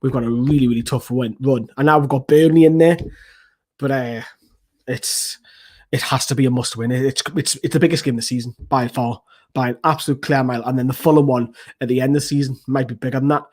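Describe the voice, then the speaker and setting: A man, hoarse from losing his voice, giving an excitable, rambling post-match football analysis as a fan channel host. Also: mid-range voice, a little fast. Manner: excitable, swears freely, rambling restarts.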